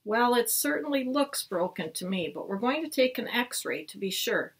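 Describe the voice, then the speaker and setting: A woman teacher voicing a kind, cheerful woman doctor in a storybook read-aloud, with a bright, reassuring manner and a breathy tone. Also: on the high side, animated, moderate pace.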